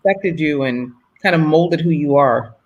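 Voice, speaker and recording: A woman's voice: sits low, moderate pace, dull audio. A woman hosting an interview who asks questions in an encouraging, affirming way. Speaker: host. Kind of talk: conversational interview.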